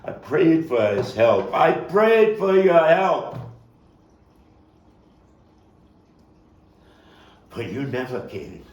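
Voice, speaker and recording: A man performing a stage monologue as a haunted Brooklyn man confessing a boyhood killing, halting, brooding, bitter and anguished. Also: a little high, sing-song, darkish tone, highs cut off, voice pushed up. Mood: anguished pleading. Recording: roomy, distant mic, faint noise.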